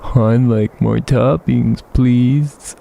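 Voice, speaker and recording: An actor voicing a polite, hungry troll with a gravelly voice and a courteous, measured manner in an audio drama. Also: medium pitch, fairly even intonation, noisy background, full character voice. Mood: polite, mild. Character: polite, soft-spoken, slow hungry troll. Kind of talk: fan audio drama acting.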